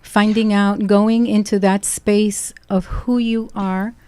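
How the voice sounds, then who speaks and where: A woman's voice: medium pitch, little pitch movement, takes it slowly, darkish tone, no echo. A middle-aged woman from Ecuador with a Brooklyn accent, a radio show guest talking reflectively and repeating phrases for emphasis. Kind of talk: casual chat. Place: radio studio.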